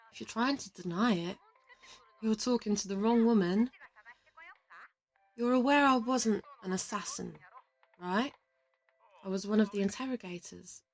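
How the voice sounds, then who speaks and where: A woman's voice: mid-range voice, natural voice, poor audio. A woman playing a confident, teasing interrogator from an assassin team in an audio roleplay, affectionate and boastful. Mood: coaxing. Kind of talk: audio roleplay addressed to the listener.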